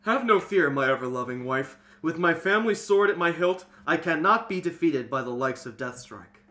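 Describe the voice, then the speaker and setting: A man's voice: a little high, expressive, normal speed, bright, forceful tone. A man voicing a big, confident warrior husband in a storybook reading, grand and declamatory. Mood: boastful, reassuring.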